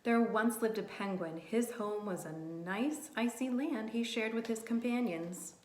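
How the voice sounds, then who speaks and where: Slow, higher-voiced woman reading to children. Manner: animated, asks children questions and pauses for answers; simple, encouraging child-directed talk. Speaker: early childhood teacher reading aloud to children. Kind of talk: read-aloud storytime for young children. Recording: roomy, echoey room sound.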